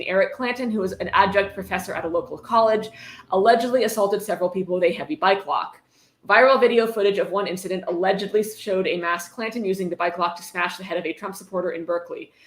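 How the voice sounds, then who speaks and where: A woman hosts a livestream with a mid-range voice, sounding informal and unfiltered as she chats and reads. The room around her adds a clear echo to everything she says. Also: medium pitch. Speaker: livestream host. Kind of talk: casual livestream chat and book reading. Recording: roomy, echoing livestream audio.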